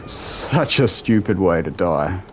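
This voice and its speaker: A man's voice: deep voice, slow, a little hiss or hum. A young man with a New Zealand accent, chatting casually and rambling as he answers viewers while playing a game from home. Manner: relaxed, rambling, answers chat between play. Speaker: streamer. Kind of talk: casual gameplay chat with live viewers.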